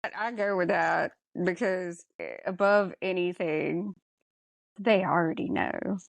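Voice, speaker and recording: A woman's voice: fairly low pitch, slow pace, creaky, no echo. A woman hosting a podcast, chatting casually in a blunt, sardonic manner. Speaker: podcast host. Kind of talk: casual chat.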